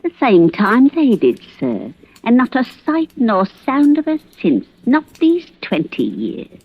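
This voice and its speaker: A woman's voice: a little high, huge pitch swings, unhurried, no room sound, full character voice. An actress playing a sly, gossipy older village woman, deferential yet knowing, in an old radio drama. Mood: cagey, gossipy. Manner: sly, folksy, drops hints. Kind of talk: golden-age radio drama acting. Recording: muffled vintage radio recording.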